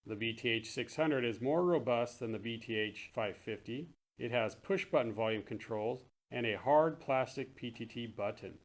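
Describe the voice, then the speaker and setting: A man's voice: mid-range voice, normal speed, few pauses. A man presenting products as a company spokesman in a straightforward promotional video style. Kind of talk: scripted product narration, later casual demo chat.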